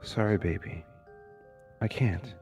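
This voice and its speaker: A man's voice: on the low side, slow, warm tone, breathy edge, faint music behind, exaggerated voice. An actor playing a driven, weary police detective and family man in a suspense audio drama, earnest in manner. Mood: gentle, apologetic.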